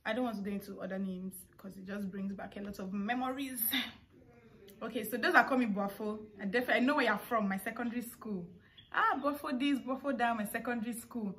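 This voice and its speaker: A woman's voice: fairly high pitch, a little fast, a bit bright. A young woman with a Nigerian accent chatting casually to camera as a vlogger, with informal asides as she answers questions. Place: living room of a family house, indoors. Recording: clear household background noise. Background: household noises.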